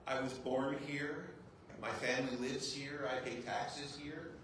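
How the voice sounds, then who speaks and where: A man's voice: medium pitch, steady tone, measured pace, fairly bright tone. A man, a rabbi, giving an informal talk to a group, with self-deprecating humor and frequent "right?" check-ins to his listeners. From United States. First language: English. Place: large echoey room with an audience. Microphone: clip-on mic, heard from a distance. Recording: very echoey hall, distant mic, faint noise.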